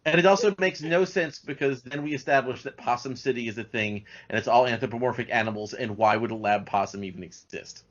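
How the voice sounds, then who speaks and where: Male animator as podcast guest, medium pitch, informal conversational read, low-fidelity muffled audio. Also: a little fast, hardly any pauses. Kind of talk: casual chat about cartoons. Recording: dull lo-fi audio.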